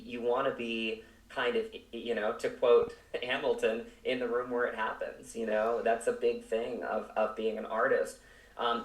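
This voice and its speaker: A man with a low-pitched voice speaks as an interview guest. His delivery is earnest and halting, with repeated words. The room echoes around him and he sits back from the microphone. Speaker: podcast guest, actor. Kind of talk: reflective interview talk. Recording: roomy echo, distant from the mic.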